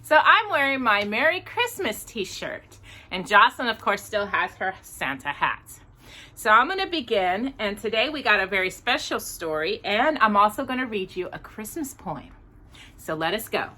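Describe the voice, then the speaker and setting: A woman hosting a children's storytime, reading aloud expressively in a deliberate storybook manner with casual asides. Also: mid-range voice. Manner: animated, deliberate storybook delivery.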